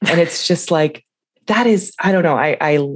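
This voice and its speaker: A woman's voice: sits low, normal speed, slightly rough. Enthusiastic woman talking about books. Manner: enthusiastic, reads quotes aloud. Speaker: podcast co-host. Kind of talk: casual literary discussion.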